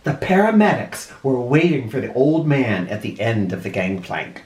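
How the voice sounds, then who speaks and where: A man reading a storybook aloud like a schoolteacher, expressive and deliberate, with dramatic emphasis. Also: medium pitch, a little hiss or hum. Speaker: first grade teacher. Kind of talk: animated storybook read-aloud for children. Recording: roomy, echoey indoor sound.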